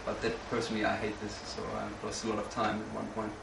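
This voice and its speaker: Creaky, flat-voiced young man. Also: on the low side, quick pace. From Germany. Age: young adult. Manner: hesitant, frequent uh fillers, slight non-native grammar. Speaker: student panelist. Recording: roomy, distant mic with background noise.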